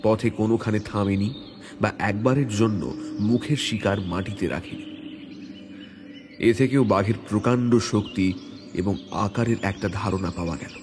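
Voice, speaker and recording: A man reading a hunting adventure as a dramatic audio story, in a measured, suspenseful way. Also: on the low side, noisy background. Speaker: story narrator. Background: background music.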